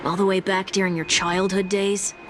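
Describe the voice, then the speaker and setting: A woman's voice: mid-range voice, flat delivery, normal speed, background noise, close to an everyday voice. An actress playing a blunt, sarcastic young woman, a skeptical travel companion in a video game, with a casual, teasing, dry delivery. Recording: loud music under the voice.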